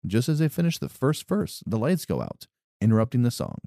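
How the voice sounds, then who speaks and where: A man reads a history segment on a podcast from his notes, stumbling now and then over a word. His voice is mellow and dark, and his pitch moves around a lot. The sound is dry and close. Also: wildly swooping pitch, brisk, vocal fry, no echo. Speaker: podcast co-host. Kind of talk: reading a history segment, casual banter.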